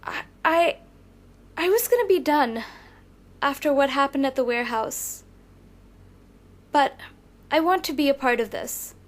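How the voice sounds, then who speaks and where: Actress as protective young heroine for audio drama: high voice, firm and earnest, careful about risks. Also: female voice, animated, measured pace, pauses often. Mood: resolute. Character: cautious, firm young superheroine, protective of friends.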